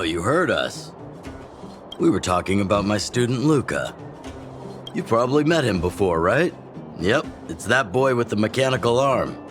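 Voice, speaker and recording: A low male voice plays a middle-aged chief in a video game. He is jovial and fatherly, a mentor who likes to tease. Music runs clearly behind him. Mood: teasing. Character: older male underground chief, genial, teasing mentor. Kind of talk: video game dialogue acting.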